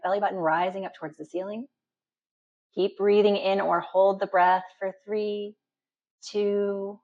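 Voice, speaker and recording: A woman instructor guiding a deep relaxation meditation in a calm, instructional manner with slow pauses. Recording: dull, muffled lo-fi sound.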